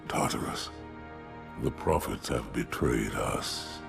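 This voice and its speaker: A grave alien warrior, super deep gravelly voice, slow and solemn. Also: male voice, expressive, over music, heavily acted. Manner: measured, solemn questioning. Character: proud alien warrior commander, stern and grave.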